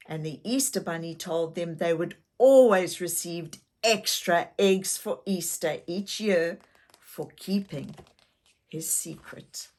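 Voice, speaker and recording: Female children's story reader, unhurried and expressive, speaking directly to young listeners. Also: on the low side. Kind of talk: animated read-aloud storytelling for young children.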